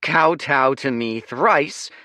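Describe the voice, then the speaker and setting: A man's voice: takes it slowly, pushed and bright, no echo, clean studio sound. A man voicing a pompous, whiny young noble boy who yelps and snivels. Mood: indignant. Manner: shrill, yelping and sniveling.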